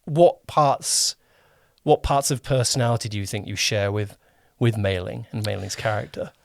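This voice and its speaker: A man's voice: on the low side, moderate pace, creaky, dry recording, studio quality. A man hosting a podcast, chatting casually as an interviewer and often rephrasing as he goes. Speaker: podcast host and producer.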